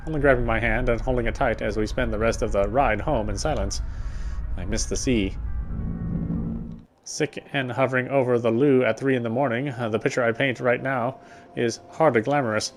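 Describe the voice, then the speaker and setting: A man's voice: mid-range voice, a little hiss or hum. A man reading first-person visual novel narration aloud as he plays the game. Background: background music.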